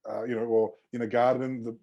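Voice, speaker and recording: A man's voice: mid-range voice, level tone, fairly quick, creaky, warm tone, highs cut off. A man, a clinician guest in an interview, talking in a rambling, friendly way with many "you know"s and restarts. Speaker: osteopath guest. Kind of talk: casual interview chat.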